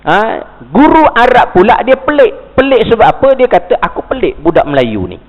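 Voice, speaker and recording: High-voiced Malaysian man lecturing in a mosque. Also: huge pitch swings, brisk. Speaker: religious teacher. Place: mosque hall. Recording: dull, reverberant mosque recording.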